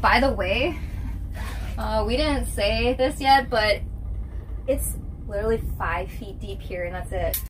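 Excitable high-voiced woman, slightly noisy recording. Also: a little slow, pauses often. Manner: excitable, exclaiming. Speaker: vlog host. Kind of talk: casual excited chat while filming. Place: inside an ice fishing tent. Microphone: handheld camera or phone. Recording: noisy handheld camera audio in tent.

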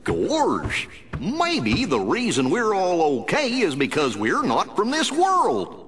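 A man voicing a gentle, bumbling cartoon dog in a video game, folksy and good-natured, with an American accent. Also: high-pitched, huge pitch swings, takes it slowly, heavily acted. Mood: puzzled, gentle. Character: goofy, gentle, slow-witted male cartoon dog. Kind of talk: cartoon game character acting. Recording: faint background noise.

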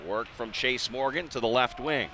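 An American man doing live radio-style play-by-play hockey commentary, measured in routine play and excitable on big plays. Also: mid-range voice. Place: indoor ice arena. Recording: noisy live rink broadcast.

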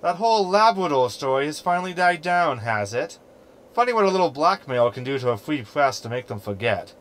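Man as a snarky, lively-pitched hologram companion. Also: brisk, clean tone. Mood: sardonic. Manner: glib, verbose, sardonic. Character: snarky, meddling male hologram ship companion. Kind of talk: sci-fi sitcom audio drama acting.

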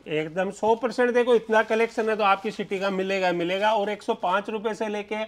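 A man's voice: sits high, a little fast. An Indian man hosting a casual promotional vlog, agreeable and emphatic, often repeating himself for stress. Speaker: vlog host. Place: indoor textile showroom.

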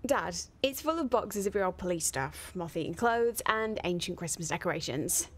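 An actress playing a cheeky, sardonic young adult daughter in a radio soap. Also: female voice, lowish voice, lively intonation, normal speed. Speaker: actor.

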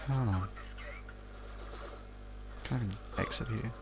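A man's voice: fairly low pitch, measured pace, gravelly. A young Australian man giving casual, off-the-cuff video game commentary, rambling in an offhand mutter and swearing now and then. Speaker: let's play commentator.

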